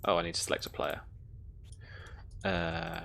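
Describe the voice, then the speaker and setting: A game master running a tabletop roleplaying game, explaining rules step by step in a relaxed, informal way. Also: medium pitch, measured pace, gravelly, a little hiss or hum.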